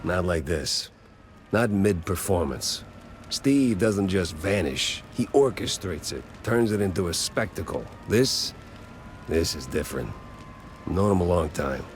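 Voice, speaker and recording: A man's voice: deep voice, lively intonation, a little slow, a little hiss or hum. A man playing a world-weary, wisecracking cartoon mouse private detective with a dry, sardonic delivery in a hardboiled noir game. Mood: serious, gruff.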